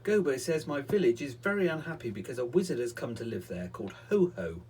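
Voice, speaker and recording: A man's voice: medium pitch, mellow, husky. A man voicing an anxious, kindly male pixie in a storybook reading, in an earnest, worried tone.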